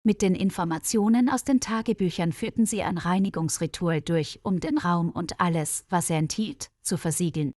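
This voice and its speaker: A woman's voice: fairly low pitch, normal speed, few pauses, a little mellow, no echo. Woman storyteller, dry close studio sound. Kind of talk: scripted narration of a mystery story for German learners.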